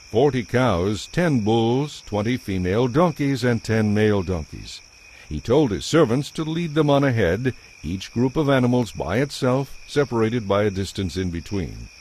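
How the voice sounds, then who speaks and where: A man narrating a dramatized audio Bible in a measured, scripted storytelling style. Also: medium pitch, a little mellow, slight background noise.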